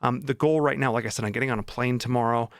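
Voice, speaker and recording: A man's voice: medium pitch, fairly even intonation, fast talker, clean tone, no echo, studio quality. A man chatting casually as a vlog host, informal and enthusiastic about his writing. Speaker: vlog host and indie author.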